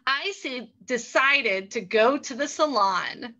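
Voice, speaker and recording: High, bright woman storyteller on a lo-fi stream. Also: lively intonation, slow. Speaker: host and story narrator. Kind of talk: improvised children's storytelling and casual hosting.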